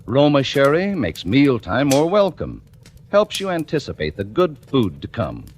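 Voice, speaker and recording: An American male announcer delivers an old-time radio advertisement with polished, measured phrasing. His pitch swings very widely, and faint noise sits on the vintage recording. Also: huge pitch swings. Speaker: commercial announcer. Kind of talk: 1940s radio advertisement read.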